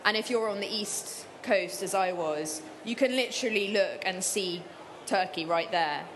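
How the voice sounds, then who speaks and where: A woman author talks through her answers in an interview, thoughtful and unhurried, often restarting her sentences. Her voice sits at an ordinary middle pitch. A clear steady noise runs behind her throughout. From Cyprus. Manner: thoughtful, with many fillers and restarts. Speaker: author guest.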